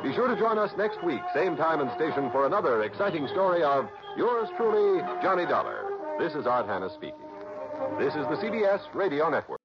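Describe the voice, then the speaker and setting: A male announcer giving the credits of an old radio show in a crisp, formal way. His voice is mid-pitched with a lively lilt. Loud music plays under a dull vintage broadcast sound. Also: a little fast.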